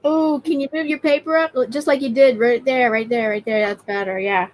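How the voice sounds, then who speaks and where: Woman stream host, high-pitched and chatty, reads viewer comments aloud. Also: steady tone, brisk, a little hiss or hum, low-quality recording. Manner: chatty, greets viewers by name. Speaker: host of live art stream. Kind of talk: casual chat, reading out viewer comments.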